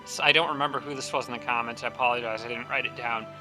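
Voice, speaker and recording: A man commentating his strategy game playthrough, thinking aloud with many fillers and restarts, his voice a little raspy from a dry throat. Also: mid-range voice, steady tone, racing, pushed and bright, soft background music. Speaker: strategy game let's-play host. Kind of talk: casual gameplay commentary.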